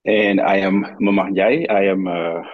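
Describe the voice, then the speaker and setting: Senegalese man, Wolof speaker, deep husky voice, reflective interview guest who feels his way through ideas. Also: steady tone, measured pace, dry recording, muffled recording. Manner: thoughtful, searching for words, frequent restarts. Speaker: guest, dance professor. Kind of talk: conversational interview.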